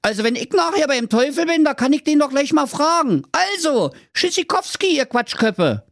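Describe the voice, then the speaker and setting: A brash, happy-go-lucky Berlin lad who talks in squeaky, swooping cheek. His storybook voice is high and lively, recorded close and dry. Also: moderate pace, no echo, full character voice. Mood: cheeky. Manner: brash, cheerful, slangy. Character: cheeky cocky Berlin man on a quest.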